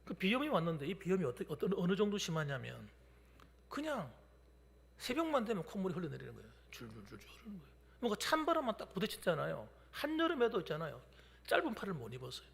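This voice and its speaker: A male pastor in his fifties preaching a midweek sermon, shifting between loud declarations and hushed whispers. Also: high voice, huge pitch swings, fast talker, gravelly. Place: church sanctuary during a midweek service. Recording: long hall reverb from a church.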